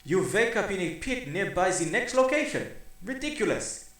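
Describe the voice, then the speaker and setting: A man's voice: high-pitched, big pitch swings, normal speed, a bit bright. A man voicing a pompous old male villain with a put-on German accent, haughty and scornful. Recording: echoey roomy recording.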